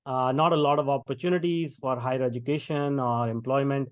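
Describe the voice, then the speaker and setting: A man's voice: medium pitch, fairly even intonation, fairly quick, clear, resonant tone, no room sound. A middle-aged man from India with an Indian English accent, a podcast guest speaking conversationally with many fillers and restarts. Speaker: guest, tech founder. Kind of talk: podcast interview.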